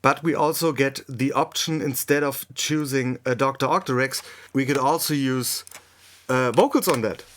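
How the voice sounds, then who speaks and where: A man who is a native German speaker, hosting a music production tutorial in English, unscripted and halting as he thinks aloud while demonstrating. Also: mid-range voice, a bit bright. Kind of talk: unscripted software tutorial narration.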